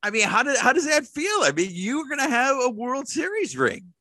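A man's voice: very high voice, wildly swooping pitch, normal speed, bright, forceful tone. An American man co-hosting a podcast in casual conversation. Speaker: podcast co-host. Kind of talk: casual chat.

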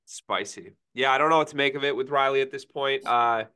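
A man co-hosting a casual sports podcast, sick and feeling rough, with dry deadpan teasing. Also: mid-range voice, a little fast, no room sound. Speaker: podcast co-host.

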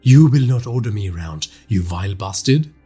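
A man voicing a determined, angry woman in an audiobook, speaking with forceful defiance. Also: lowish voice, animated, normal speed, breathy edge, soft background music. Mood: defiant anger. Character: determined, furious woman vowing revenge on her tormentor.

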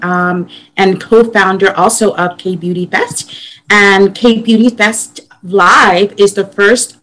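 A woman talks as a guest in a relaxed interview. Her speech stops and starts, packed with ums and uhs, and her pitch sits right in the middle. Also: low-quality recording. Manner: halting, many ums and uhs. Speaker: guest, event co-founder. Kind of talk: casual interview talk. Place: lives in Korea.

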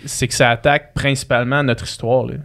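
A man's voice: medium pitch, flat delivery, vocal fry, a bit bright. A man with a Québécois French accent, hosting a podcast in a casual, chatty way. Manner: casual, chatty, quick backchannels. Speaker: podcast host.

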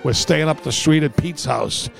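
Gravelly guy swapping stories. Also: a little high, moderate pace, soft background music. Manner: profane, blunt, animated storyteller. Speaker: podcast co-host. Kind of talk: casual comic storytelling among friends.

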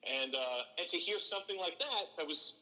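Man, middle-aged, sportscaster, very bright tone, echoing reverb. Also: on the high side, fairly quick. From from New Jersey. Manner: earnest, animated, frequent restarts. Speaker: baseball play-by-play broadcaster, podcast guest. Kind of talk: relaxed interview storytelling.

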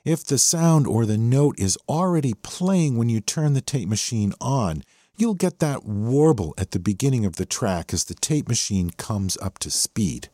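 A man's voice: mid-range voice, expressive, a little slow, mellow, dry recording. An American man hosting a music podcast, talking through a song with enthusiasm. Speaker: podcast host. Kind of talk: music appreciation podcast commentary.

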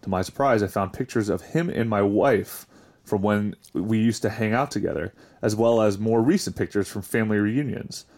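A man's voice: fairly low pitch, normal speed, a little mellow. A man hosting a casual podcast, chatting and reading confessions aloud in an informal way. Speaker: podcast host.

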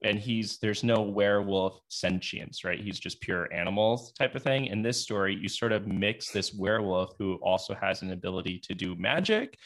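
A man's voice: fairly low pitch, moderate pace, hardly any pauses, a bit bright. A man interviewing casually as a podcast host, thinking aloud and rephrasing as he goes.

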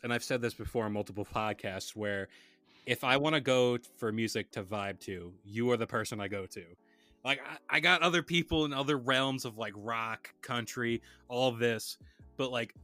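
A man's voice: mid-range voice, sharp tone, no echo. A man hosting a casual podcast, chatting in a laid-back, rambling way and reading sponsor plugs. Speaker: podcast host.

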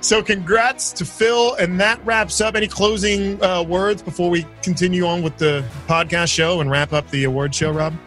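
A man's voice: high-pitched, steady tone, moderate pace, few pauses, fairly bright tone, faint music behind. A man co-hosting a casual sports podcast, chatty and jokey as he steers the segments. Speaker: podcast co-host.

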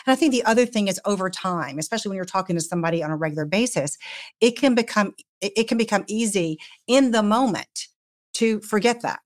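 A woman speaking as a psychologist guest, explaining things conversationally and in a measured way on a panel. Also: mid-range voice, expressive.

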